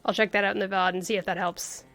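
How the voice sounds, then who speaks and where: A woman's voice: medium pitch, flat delivery, quick pace, a bit bright. A woman livestreaming a video game, commenting casually and wryly on the story as she reacts aloud. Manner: wry, chatty, reacting aloud. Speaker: game streamer. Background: faint game music.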